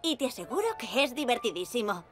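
Upbeat cartoon climbing coach lady, castilian spanish, highish bouncy voice, encouraging the kids. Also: very animated, fast. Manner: cheerful, reassuring, addressing small children. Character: upbeat, encouraging young woman climbing instructor. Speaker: climbing instructor in a children's cartoon. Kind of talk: animated dub dialogue. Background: music.

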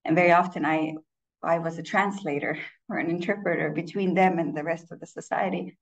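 Woman in her 30s, English speaker, low gravelly voice, reflective presentation delivery. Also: fairly even intonation, highs cut off. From Estonia. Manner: reflective, with frequent filler pauses and restarts. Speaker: conference speaker. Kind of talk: personal presentation talk.

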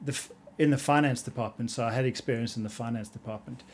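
A middle-aged man from South Africa with a South African accent, a guest coach telling his career story in a measured, reflective way in a small podcast studio on a studio mic. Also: mid-range voice, fairly even intonation, darkish tone, husky. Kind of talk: casual podcast conversation. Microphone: studio mic on boom arm.

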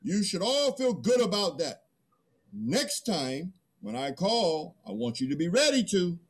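Man playing a husky, boastful primitive man. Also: sits high, very animated, measured pace, exaggerated voice. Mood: commanding. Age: middle-aged. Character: first Man, boastful, greedy, stubborn, awkward. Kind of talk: dramatic play reading.

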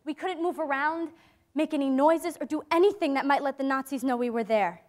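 A young woman playing an earnest, hopeful teenage girl in hiding, in a dramatic stage reading with deliberate phrasing. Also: high voice, lightly acted. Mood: sorrowful recollection. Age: young adult.

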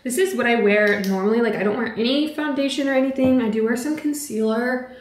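A woman's voice: a little high, brisk. A young woman vlogging at home in a casual, upbeat, gushing way, with lots of "like". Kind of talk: casual vlog narration. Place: home and patio, indoors and out. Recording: echoey with clear background noise.

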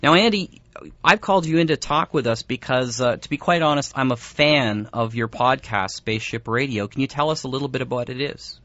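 A man's voice: medium pitch, a little fast, sharp tone, no room sound. A man hosting an audio drama show and interviewing a guest. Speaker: radio show host. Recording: dry, slightly muffled studio audio.

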